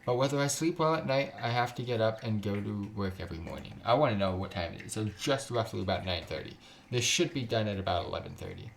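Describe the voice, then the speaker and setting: A man's voice: lowish voice, lively intonation, quick pace, creaky, slight background noise. A man live-streaming a game, chatting casually in a joking, sweary, slangy way and reading text aloud with stumbles.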